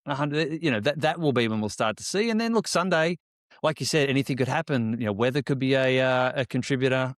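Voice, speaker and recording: A man's voice: medium pitch, fast talker, no room sound. Friendly male podcast host, dry sound. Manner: friendly, asks questions, says "mate". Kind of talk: casual chat.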